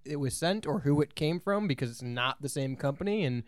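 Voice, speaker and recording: A man's voice: on the high side, lively intonation, fairly quick, no echo. A young man co-hosting a casual podcast, telling a story with uneven pace and dry humor. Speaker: podcast co-host. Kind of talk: casual chat.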